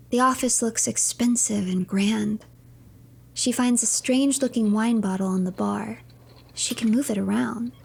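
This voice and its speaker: A woman game streamer delivers dramatic story narration and reads documents aloud. Her voice is fairly high, with plenty of creak and a breathy, husky edge. The delivery is theatrical and deliberate. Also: a little mellow.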